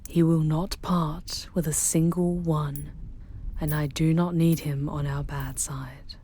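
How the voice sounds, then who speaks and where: A woman playing a charming, protective female demon guide in an intimate ASMR roleplay, with soft breathy delivery and formal, measured diction. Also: sits low, little pitch movement, slight background noise. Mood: hushed, teasing. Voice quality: soft, breathy, often whispery.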